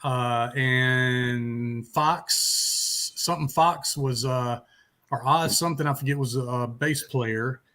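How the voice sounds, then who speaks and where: A man's voice: medium pitch, takes it slowly, pushed and bright. A man hosting a music talk show, chatting casually in a rambling, salty-tongued way. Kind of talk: casual chat.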